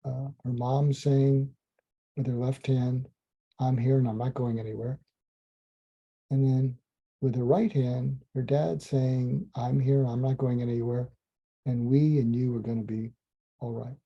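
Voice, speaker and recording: A man, a psychiatrist, calmly recounting a case in a slow, soothing, almost hypnotic way, with frequent ums and restarts. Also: mid-range voice, creaky, husky. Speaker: psychiatrist telling a clinical story. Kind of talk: calm storytelling monologue. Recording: dull lo-fi sound.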